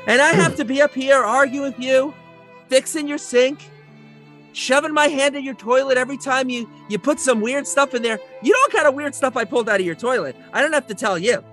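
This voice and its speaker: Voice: sits high, fairly quick, fairly bright tone, faint music behind. A performer playing an aggrieved male landlord in a comedic audio play, rambling awkwardly in a whiny, exasperated way.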